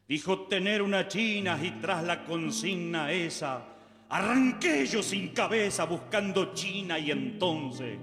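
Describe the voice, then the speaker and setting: A man's voice: on the high side, a bit bright. A man with an Argentine accent reciting humorous gauchesque verse as theatrical, rhymed storytelling. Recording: long reverb, guitar music behind.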